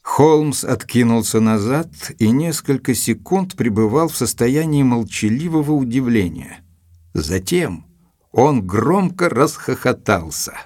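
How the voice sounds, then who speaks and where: A gentleman storyteller in a detective audio play. He speaks slowly at a middling pitch, composed and measured, as scripted narration. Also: lively intonation, slightly rough, dry recording, clean studio sound.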